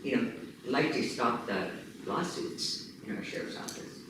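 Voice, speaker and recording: Emphatic woman in an echoey meeting room. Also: rumbling low. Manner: energetic, emphatic, lists things rapidly. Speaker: county commissioner candidate. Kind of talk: campaign talk at a community meeting. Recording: echoey room, distant mic, background noise.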